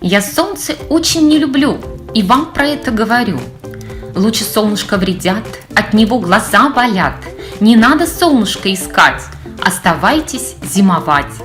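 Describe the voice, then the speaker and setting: A woman's voice: mid-range voice, animated, unhurried, a bit bright, faint music behind. A woman voicing a grumpy old male owl who scolds and nags in preachy rhymed verse for a children's storybook. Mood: grumbling warning. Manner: preachy, nagging, rhymed speech.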